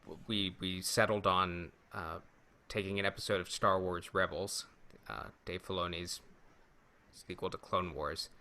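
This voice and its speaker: A man co-hosting a podcast in casual chat, halting as he thinks aloud. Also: low-pitched, steady tone, bright, forceful tone. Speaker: podcast co-host.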